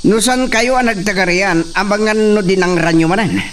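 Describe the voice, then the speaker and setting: An actor playing a defiant, indignant young gentleman in a radio drama. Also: high-pitched, moderate pace, far from the mic, slight background noise. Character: defiant young gentleman, son of a rich matriarch.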